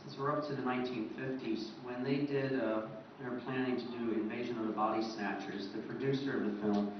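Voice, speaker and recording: A man's voice: medium pitch, fairly even intonation, fairly quick. A man giving an informal historical lecture as a co-presenter at a convention. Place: echoey presentation room at a convention. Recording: roomy echo, distant mic, dull, clear noise.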